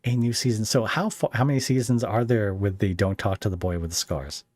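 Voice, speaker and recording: A man with a low voice and a close, dry sound hosts a casual interview. He is chatty and rambles through his questions, slipping in jokey asides. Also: steady tone, a little fast, darkish tone, dry recording. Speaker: podcast host and interviewer.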